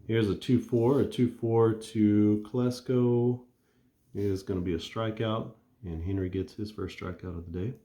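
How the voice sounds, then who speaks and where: A man calmly narrating a tabletop baseball replay play by play, thinking aloud as he rolls the dice. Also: fairly low pitch, unhurried, reverberant. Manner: relaxed and conversational, thinking aloud while rolling.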